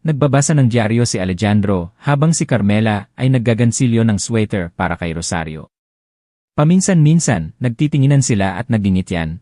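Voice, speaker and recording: A man narrating a romance audiobook in a gentle, expressive storytelling manner. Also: lowish voice, dry recording, clean studio sound.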